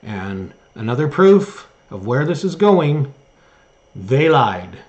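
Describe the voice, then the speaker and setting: A man hosting an online news show, giving opinion commentary and reading articles aloud in a halting way with emphatic stress. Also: mid-range voice, big pitch swings, darkish tone, muffled recording. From Canada.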